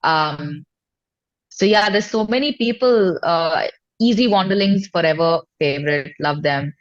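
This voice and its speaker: A woman's voice: lowish voice, unhurried, lo-fi sound. A woman with an Indian accent talking informally and effusively as a guest in a casual interview. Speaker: singer-songwriter guest.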